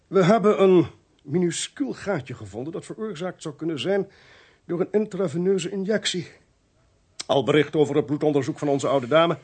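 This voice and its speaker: An actor playing a brisk, self-assured forensic expert in a radio play, formal and commanding. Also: male voice, a little high, lively intonation, a little mellow, breathy edge.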